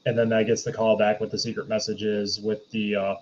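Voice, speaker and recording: A man's voice: on the low side, flat delivery, fairly quick, darkish tone, a little hiss or hum. A man chatting casually as a review panelist, often restarting his sentences, on slightly dull lo-fi audio. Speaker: podcast panelist reviewing films.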